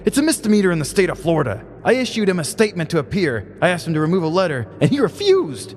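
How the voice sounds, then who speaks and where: Woman doing a bossy male deputy, swinging pitch. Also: sing-song, fast talker, a little mellow, long reverb tail. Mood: insistent, indignant. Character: bossy self-important small-town male police deputy. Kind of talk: comedic true-crime dramatization.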